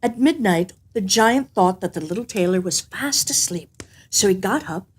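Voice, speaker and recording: A woman reading a fairy tale aloud as an expressive, scripted narration. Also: mid-range voice, moderate pace, breathy edge. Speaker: storyteller.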